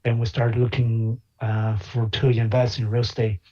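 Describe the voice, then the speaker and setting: A man's voice: mid-range voice, level tone, darkish tone. Hesitant man, slightly dull call audio. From China. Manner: hesitant, many filler pauses. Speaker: guest, physician and investor. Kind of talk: interview conversation.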